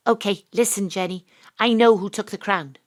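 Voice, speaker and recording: An actress playing a brisk, sardonic female private investigator in an audio drama, telling the story in a dramatic, knowing way. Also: mid-range voice, fairly even intonation, no room sound, studio quality, lightly acted. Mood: assured. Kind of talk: audio drama narration and dialogue, hard-boiled detective parody.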